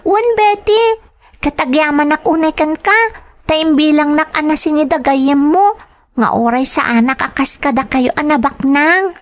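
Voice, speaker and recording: A woman's voice: sits high, a little slow. A woman voicing an earnest, polite young schoolgirl daughter in an Ilocano audio drama. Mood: polite, warm.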